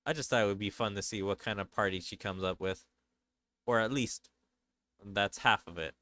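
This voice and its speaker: A man's voice: on the low side, moderate pace, bright, forceful tone, dry recording. A man voicing a commanding, teasing male demon lord in an anime game. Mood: sly.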